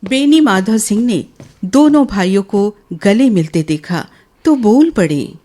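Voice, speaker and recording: A woman's voice: mid-range voice, expressive, a little slow, warm tone. A woman playing the narrator of a village family tale in a radio drama, reading expressively and with measure. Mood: grave narration.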